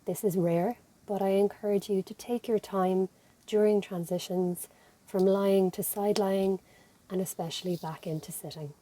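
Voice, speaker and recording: Woman, Irish accent, breathy and soft-edged, mellow tone, gentle, soothing, guided relaxation. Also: mid-range voice, steady tone, moderate pace, poor audio. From Ireland. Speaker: physiotherapist and yoga instructor leading relaxation. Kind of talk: guided meditation.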